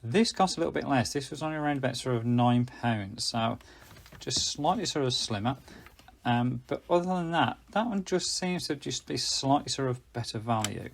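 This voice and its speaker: A man's voice: mid-range voice, expressive, normal speed, gravelly. A British man giving a casual, unscripted product review as host, chatty and rambling, often correcting himself. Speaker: host reviewing budget radios.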